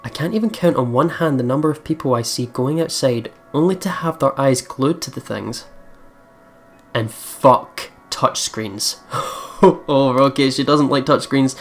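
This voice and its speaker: Chatty mid-pitched let's play host over music. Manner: chatty, informal, swears freely. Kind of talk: casual game commentary mixed with reading aloud.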